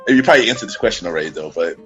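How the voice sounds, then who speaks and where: Man hosting with lively intonation. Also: low-pitched, very fast, muffled recording, faint music behind. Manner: relaxed, brief back-channel replies, laughs along. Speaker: podcast host. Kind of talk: casual podcast interview.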